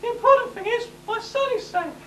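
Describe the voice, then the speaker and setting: A woman's voice: very high-pitched, lively intonation, fairly quick. A woman playing a fussing, overdramatic pantomime character on an amateur stage, full of exclamations. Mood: relieved. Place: amateur stage show in a hall, audience behind. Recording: echoey hall, far mic, audience noise.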